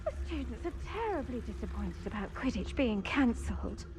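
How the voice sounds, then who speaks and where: A woman's voice: on the high side, very animated, normal speed. A woman playing a genteel wizard school teacher in video game background dialogue. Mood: concerned, chatty. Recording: clear background ambience.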